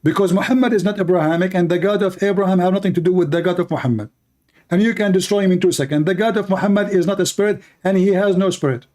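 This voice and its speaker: A man preaching to his viewers with a dark, mellow voice pitched a little high. He declaims emphatically and repeats his points for force. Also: quick pace. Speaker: online religious debater.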